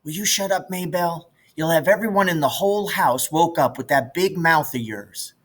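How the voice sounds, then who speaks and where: A man's voice: on the high side, moderate pace, a bit bright, pitched above the performer's own voice. A man voicing a grumbling, teasing country boy in a storybook, with a folksy, exasperated manner. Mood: irritated.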